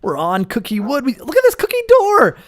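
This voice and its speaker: A man hosting a gaming video with casual, playful roleplay commentary and animated reactions. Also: very high-pitched, a little fast.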